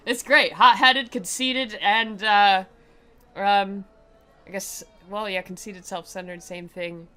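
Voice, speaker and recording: Sarcastic, bright-voiced woman doing game commentary. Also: mid-range voice, fairly even intonation, slow pace. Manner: sarcastic, playful, mocking. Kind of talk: sarcastic commentary and dramatic reading of a visual novel. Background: faint music.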